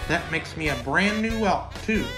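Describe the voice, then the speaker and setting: An actor plays an earnest, awkward male teacher in an old-time radio comedy. The voice is light and mild, with music clearly heard behind it. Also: mid-range voice, animated, normal speed, close to an everyday voice. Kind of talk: 1950s radio comedy acting.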